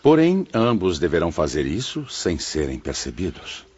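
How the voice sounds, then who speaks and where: A dignified spirit elder played by a man speaks with an extremely deep, husky voice. His delivery is formal and authoritative, yet it swoops widely in pitch, as in an audiobook drama. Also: huge pitch swings, moderate pace, darkish tone, highs cut off, exaggerated voice. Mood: composed authority. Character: dignified male spirit superior of a colony.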